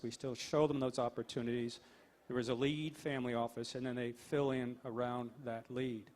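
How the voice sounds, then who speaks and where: A man's voice: mid-range voice, darkish tone. A man speaking on a panel about investing, explaining in a businesslike way. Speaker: panelist, angel investor group leader. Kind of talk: panel discussion.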